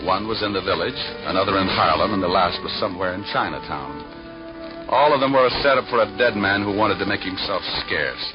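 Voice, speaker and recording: Deep-voiced wisecracking private eye. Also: male voice, expressive, brisk. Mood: wry, narrating. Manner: dry, sardonic, slangy. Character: wisecracking hard-boiled male private detective. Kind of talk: first-person noir narration, 1940s radio acting. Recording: muffled vintage radio recording with music.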